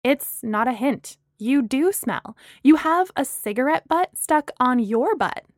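Brash young female friend acted by a woman narrator, audiobook, blunt delivery, higher register, dry recording. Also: lively intonation, a little slow, no echo. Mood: brisk, teasing. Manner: blunt, emphatic.

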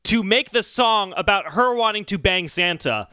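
A man's voice: high-pitched, lively intonation, normal speed, bright, forceful tone, clear, resonant tone, no room sound, clean studio sound. A man hosting a comedic music podcast, chatting casually and arguing his points with mock-indignant emphasis. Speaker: podcast host.

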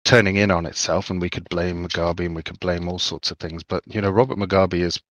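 A man from Zimbabwe, co-hosting a podcast, talks in a halting, thoughtful way and often repeats his words. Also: low-pitched, fairly even intonation, a bit bright, no echo. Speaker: podcast co-host. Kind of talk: casual chat.

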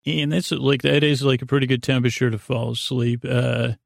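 A man hosting a bedtime podcast in a halting, meandering, comedic monologue that keeps circling back and drifting into digressions. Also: mid-range voice, steady tone, gravelly, no room sound, clean studio sound. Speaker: podcast host.

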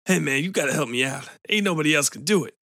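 A man voicing a bitter, weepy man with a smoker's rasp who pleads and breaks into tears. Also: on the high side, lively intonation, fast talker, bright, forceful tone, dry recording, clean studio sound. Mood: pleading.

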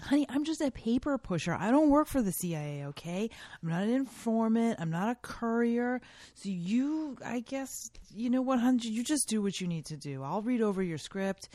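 Evasive, placating drama wife, played by an actress: soft-edged tone, animated intonation. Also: female voice, a little high, moderate pace, no echo, close to an everyday voice. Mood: defensive, placating. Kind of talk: scripted drama dialogue.

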